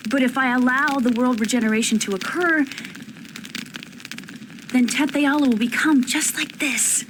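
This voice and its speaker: A woman's voice: high voice, moderate pace, lightly acted. A woman voicing an earnest young female summoner from another world in a video game, explaining things with conviction. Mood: conflicted, pleading. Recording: noisy game ambience behind voice.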